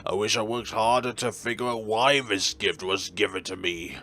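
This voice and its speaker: A man's voice: on the low side, moderate pace, bright, forceful tone, faint music behind. A man reading visual novel dialogue as a melancholy, world-weary God, in a wistful, dramatic way. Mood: brooding.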